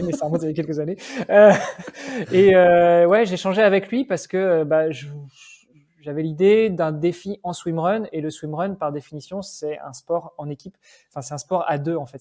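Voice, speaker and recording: A man's voice: fairly high pitch, steady tone, a little fast, mellow. A man from France, a podcast guest and endurance athlete, explaining at length in a casual, informal way with hesitations. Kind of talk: casual podcast interview chat.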